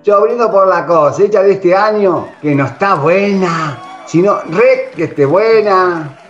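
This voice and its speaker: A man's voice: high voice, a little slow, faint music behind. A man playing a hearty party-going villager. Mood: festive. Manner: hearty, shouting toasts. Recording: dull roomy sound.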